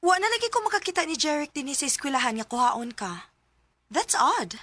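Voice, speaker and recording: A woman's voice: high-pitched, very animated, moderate pace, pushed and bright, heavily acted. An actress with a Cebuano Filipino accent playing a wise, observant mother advising her grown daughter in a radio drama. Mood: teasing, curious.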